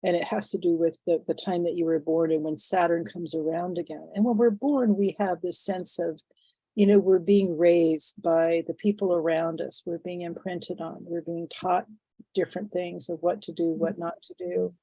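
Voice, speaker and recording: A woman in her sixties, phlegmy and sniffly from a head cold, speaks as an interview guest in a thoughtful, halting way full of fillers and restarts. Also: on the low side, fairly even intonation, warm tone, dry recording, poor audio. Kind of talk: reflective conversational interview.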